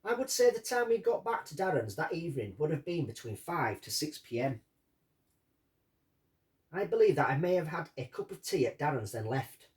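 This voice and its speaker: A man reading out a witness statement and commenting on it as a true-crime host, in deliberate short phrases. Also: a little high, very animated, darkish tone. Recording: distant from the microphone.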